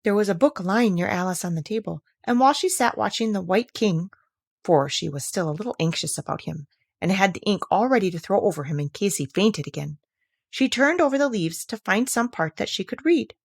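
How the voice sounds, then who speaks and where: A woman reading a children's story aloud as an expressive narrator. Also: medium pitch, fairly quick, vocal fry, no room sound, studio quality. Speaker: audiobook reader.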